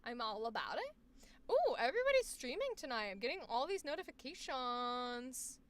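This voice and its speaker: A woman coaching voice actors on a live stream, reacting with effusive exclamations and quick asides. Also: sits high, big pitch swings. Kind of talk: live stream commentary on student recordings.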